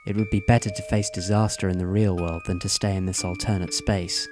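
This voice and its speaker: A man playing a composed, analytical teenage schoolboy in an audio drama, speaking in a formal, measured, wordy way. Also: low voice, flat delivery, music in the background, close to an everyday voice. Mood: earnest, resolute.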